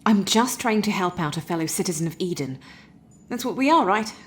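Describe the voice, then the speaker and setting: A woman playing a calm persistent helper. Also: medium pitch, fairly quick, natural voice. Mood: gentle.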